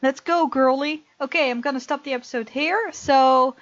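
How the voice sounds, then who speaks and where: A woman's voice: sits high, moderate pace, no echo, muffled recording. A woman hosting a let's play, reading game text aloud and reacting casually and expressively. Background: faint game music.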